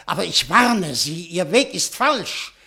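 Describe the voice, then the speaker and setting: A smug, threatening schemer. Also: male voice, high voice, big pitch swings, unhurried, creaky, bright, forceful tone, heavily acted. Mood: menacing. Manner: cold, warning, superior. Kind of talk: 1950s radio play acting.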